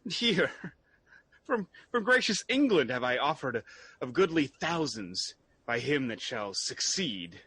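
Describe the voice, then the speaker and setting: A man playing an anguished, fervent young exiled prince in a Shakespearean radio drama, with husky, halting, theatrical delivery. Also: mid-range voice, big pitch swings, fairly bright tone, full character voice. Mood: wary, halting.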